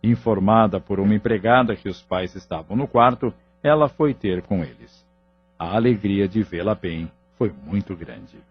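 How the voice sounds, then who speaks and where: A man with a Brazilian accent reading scripted narration for an audio drama, with a husky voice. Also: fairly low pitch, normal speed, highs cut off, faint music behind.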